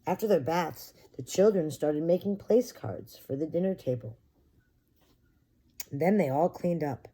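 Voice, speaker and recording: Female early-years teacher, soft dark tone, slightly low pitch, expressive storytime delivery aimed at little kids. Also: unhurried, husky. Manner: addresses children directly with simple questions. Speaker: preschool teacher reading to young children. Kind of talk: expressive picture-book read-aloud for children.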